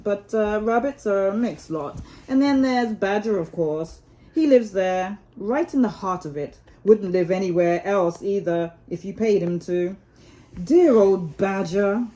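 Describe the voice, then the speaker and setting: A woman's voice: medium pitch, mellow, close to an everyday voice, poor audio. A woman voicing a worldly, good-natured male water rat from a storybook, chatty and assured in an old-fashioned, chummy way. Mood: guarded.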